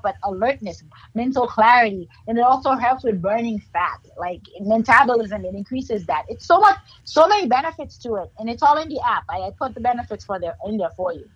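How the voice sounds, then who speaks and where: Woman, Haitian accent, slightly high, husky, upbeat motivational guest, dull lo-fi remote audio. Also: fairly bright tone. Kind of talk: casual interview chat.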